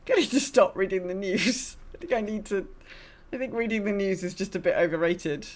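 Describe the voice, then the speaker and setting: A woman's voice: mid-range voice, normal speed. A woman hosting a gaming podcast, chatting casually in a rambling, self-deprecating way. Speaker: podcast host.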